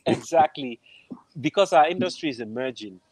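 A man's voice: medium pitch, animated, normal speed, a loud crowd, poor audio. A man with a Nigerian accent, an interview guest talking casually on a video call, often searching for words and repeating phrases. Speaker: interview guest, film composer. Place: room at home, on a video call. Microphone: wired earphones on a video call.

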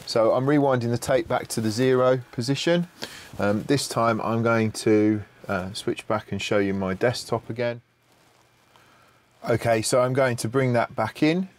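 A middle-aged man presenting an unscripted audio production tutorial, thinking aloud in a somewhat hesitant way. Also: mid-range voice. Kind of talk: unscripted screen-share tutorial.

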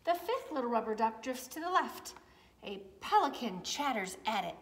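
A woman in her forties reading a picture book aloud to young children, with deliberate phrasing. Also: a little high, sing-song, fairly bright tone, slight background noise. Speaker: children's story time reader. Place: large indoor room with high wooden ceiling.

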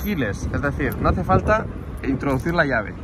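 A man's voice: fairly high pitch, very animated, normal speed. A man from Spain with a Spanish accent, giving an informal, explanatory walkthrough as a workshop host, with chatty asides. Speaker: motorcycle workshop builder and channel host. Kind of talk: casual hands-on vlog walkthrough. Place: outdoors in a paved car park. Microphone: handheld phone held by the speaker. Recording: clear outdoor street and vehicle noise.

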